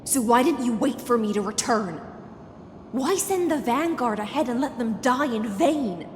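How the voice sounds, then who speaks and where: Woman playing a high-voiced, reproachful game knight. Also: expressive, moderate pace, slight background noise, lightly acted. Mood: hurt, accusing. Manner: grave, accusing, restrained. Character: wounded, loyal female knight commander, reproachful. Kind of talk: video game drama dialogue. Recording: echoey reverberant hall, music behind.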